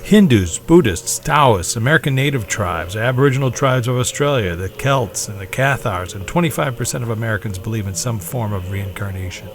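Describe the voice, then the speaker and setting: A man's voice: medium pitch, animated, a little fast, few pauses, music in the background. A man delivering scripted documentary narration in the role of narrator.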